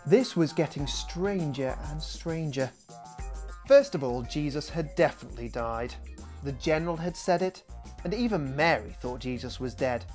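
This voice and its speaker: A man narrates a children's audiobook in an expressive storytelling style. His voice sits at a middling pitch. Soft guitar music plays gently behind the scripted reading. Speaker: author reading his own book.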